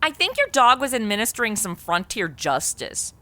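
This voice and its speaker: A woman's voice: a little high, big pitch swings, moderate pace, sharp tone, no echo. A woman hosting and narrating a comedy audio drama in a cheeky, sarcastic, conversational manner. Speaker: comedy podcast host and narrator.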